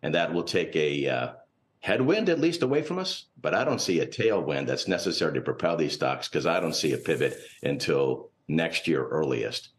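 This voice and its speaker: Low-voiced man giving cautious market analysis. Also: big pitch swings, moderate pace. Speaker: portfolio manager and finance professor, guest analyst. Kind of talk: unscripted market commentary in TV interview.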